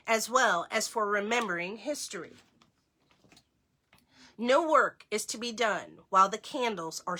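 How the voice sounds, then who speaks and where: A woman's voice: on the high side, sharp tone. A woman hosting a story time, reading aloud to children and speaking to her listeners directly. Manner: slow, clearly phrased reading, addresses young listeners directly. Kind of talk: children's read-aloud with casual asides.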